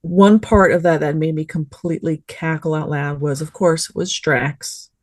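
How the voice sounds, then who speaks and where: A woman's voice: sits low, steady tone, measured pace, dry recording, clean studio sound. A woman on a podcast panel, chatting casually and effusively, with thoughts tumbling over one another. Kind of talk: casual review chat.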